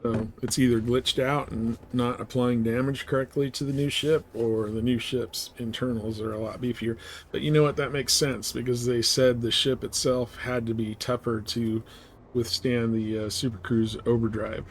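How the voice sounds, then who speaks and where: A man's voice: mid-range voice, flat delivery, moderate pace, slight background noise. A man giving casual live commentary on a game, chatting and thinking aloud. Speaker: game streamer.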